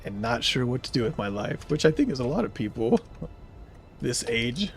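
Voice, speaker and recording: A man's voice: mid-range voice, very animated, normal speed, soft background music. A man in his thirties streaming a game live, chatting casually in a rambling way and answering viewers' chat as he plays. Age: 30s.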